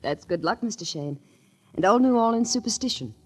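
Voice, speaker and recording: A woman's voice: mid-range voice, animated, a little fast, close to an everyday voice. An actress playing a genteel, solicitous young woman in a 1940s radio drama. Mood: solicitous, polite. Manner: polite, solicitous. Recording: noisy 1940s radio recording with clicks.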